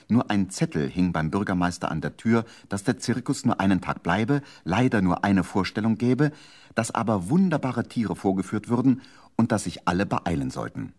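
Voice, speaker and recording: An actor narrating a children's radio play as the storybook teller of a village tale. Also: male voice, on the low side, very animated, normal speed, darkish tone. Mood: lively storytelling.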